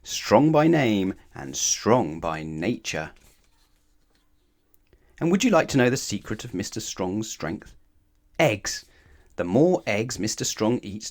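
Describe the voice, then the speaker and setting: A man reading a children's story aloud in an expressive, animated way, with emphatic phrasing aimed at the listener. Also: mid-range voice, creaky. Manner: animated, asks the listener questions, emphatic repetition.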